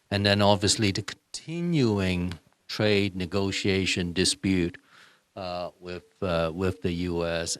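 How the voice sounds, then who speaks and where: A man from Hong Kong, a senior executive, giving panel remarks with hesitant starts and emphatic repetition. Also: deep voice.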